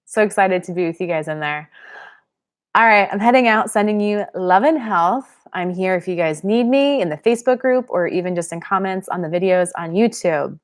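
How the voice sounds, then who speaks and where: A woman's voice: medium pitch, lively intonation, normal speed. A young woman from Pennsylvania hosting a live-stream Q&A, chatting casually and reading viewer comments aloud. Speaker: channel host.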